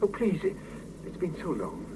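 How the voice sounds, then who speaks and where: A man's voice: on the high side, wildly swooping pitch, normal speed. An actor playing an earnest, affectionate young Soviet husband and father in a 1970s radio drama. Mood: pleading. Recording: hissy 1970s mono radio cassette.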